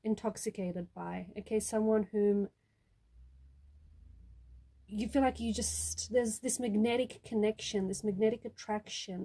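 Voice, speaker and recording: Mellow-voiced woman giving a tarot reading. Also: medium pitch, breathy edge. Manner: repetitive, rephrasing each point for emphasis. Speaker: tarot reader. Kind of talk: intuitive tarot reading addressed to viewer.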